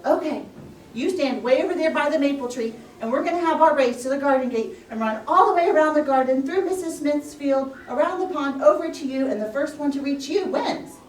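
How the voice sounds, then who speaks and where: A woman's voice: high voice, a little fast, slight background noise, pitched above the performer's own voice, close to an everyday voice. A woman voicing a bossy, competitive hare in a puppet story, brash and emphatic in manner. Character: boastful, impatient, fast hare, bossy and competitive. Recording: roomy echo, distant microphone.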